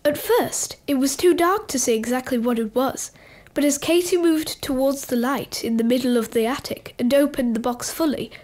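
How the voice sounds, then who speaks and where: Woman, high-pitched, scripted storytelling, radio play narrator. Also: normal speed, darkish tone.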